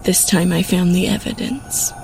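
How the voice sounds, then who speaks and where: A woman's voice: on the high side, a little slow, gravelly, faint music behind, rough recording. A woman playing an angry, suspicious wife confronting her husband in a horror audio drama. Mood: angry, accusing. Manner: accusing, confrontational. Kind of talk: horror audio story acting.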